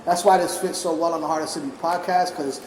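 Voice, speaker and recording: High-pitched male host, loose slangy banter, reverberant room with faint hiss. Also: fairly even intonation, fast talker. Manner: brief interjections, street slang. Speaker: podcast host. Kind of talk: casual chat. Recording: echoey room, faint noise.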